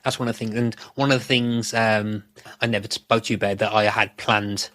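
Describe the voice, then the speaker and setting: Low-pitched man chatting on a podcast. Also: steady tone, brisk, fairly bright tone, no echo. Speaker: podcast co-host. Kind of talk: casual podcast discussion.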